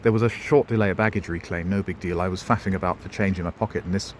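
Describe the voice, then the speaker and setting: Middle-aged bloke, low voice, drifts between digressions and dry jokes, recorded on the street with faint traffic. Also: male voice, steady tone, quick pace. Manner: wry, digressive, self-mocking asides. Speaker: travel vlogger. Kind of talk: rambling walking-tour commentary. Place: outdoors in a town centre street.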